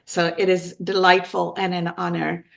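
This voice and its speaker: A woman in her fifties from Sri Lanka, telling her personal story as an interview guest in an earnest, emphatic, deliberate way. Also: on the low side, level tone, vocal fry. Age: 50s. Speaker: podcast guest.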